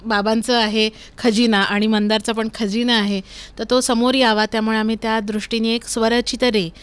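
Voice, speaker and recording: A woman's voice: a little high, little pitch movement, normal speed, clear, resonant tone. A woman, speaking as a guest in a casual interview.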